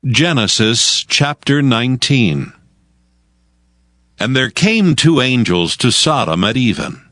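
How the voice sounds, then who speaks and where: A man narrating dramatized scripture in a formal, measured manner. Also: medium pitch, very animated, a bit bright, dry recording.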